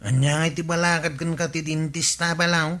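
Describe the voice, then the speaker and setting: An actor playing a caring uncle who advises and mildly scolds, in a radio comedy drama. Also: male voice, a little high, level tone, brisk, sharp tone. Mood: gently scolding. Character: caring uncle, gently scolding adult man.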